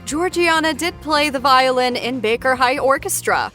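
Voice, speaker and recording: A woman's voice: high-pitched, moderate pace, pushed and bright, faint music behind. A woman hosting a playful trivia game show in a bubbly, upbeat manner. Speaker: show host.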